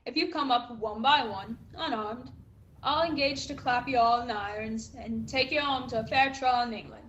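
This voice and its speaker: A woman's voice: on the high side, normal speed, fairly bright tone, highs cut off, a little hiss or hum, natural voice. A woman playing a stern, defiant male sea captain in a radio play, speaking in a clipped, commanding, formal way.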